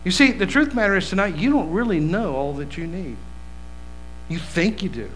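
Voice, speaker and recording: Man in his fifties, pastor, folksy animated preaching, bright forceful voice, faint hum behind. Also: sits high, brisk, poor audio. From West Virginia. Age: 50s. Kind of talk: sermon.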